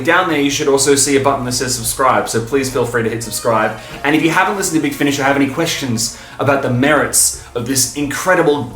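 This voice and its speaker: A man hosting a fan video, talking in an enthusiastic, informal, emphatic way, stopping and starting with pauses for breath. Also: medium pitch, fairly bright tone, echoey room. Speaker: video host and fan reviewer.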